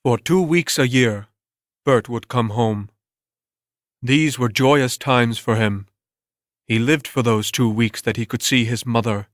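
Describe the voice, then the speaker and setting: A man's voice: medium pitch, takes it slowly, no room sound. Man narrating a dramatic story, dry sound.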